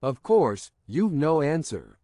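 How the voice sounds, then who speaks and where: A man reading the lines of an eager, teasing young woman who presses her questions, in a slow, lively storybook reading. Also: a little high, no room sound, clean studio sound. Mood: pressing.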